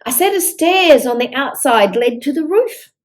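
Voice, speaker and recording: An older woman reading a picture book aloud to young children in an expressive storytelling manner. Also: high voice, moderate pace. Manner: expressive, with exaggerated dialogue.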